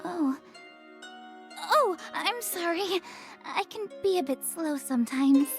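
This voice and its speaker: An excitable girl in a visual novel, her voice high and unhurried. She is playful and a little awkward, with music playing behind her. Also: full character voice. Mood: flustered. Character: excitable, playful, slightly awkward girl staying at a castle convent. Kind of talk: visual novel game voice acting.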